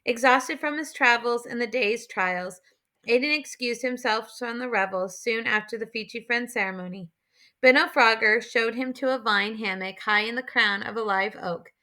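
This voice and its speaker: A woman's voice: a little high, normal speed, pushed and bright. A woman narrating an adventure novel as an audiobook, in a scripted storytelling style.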